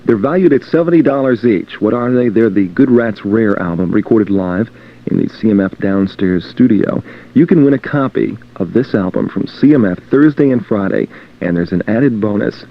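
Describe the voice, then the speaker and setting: A man's voice: lowish voice, animated, moderate pace. A man working as a radio DJ, announcing music and reading station promos. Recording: dull lo-fi radio aircheck tape.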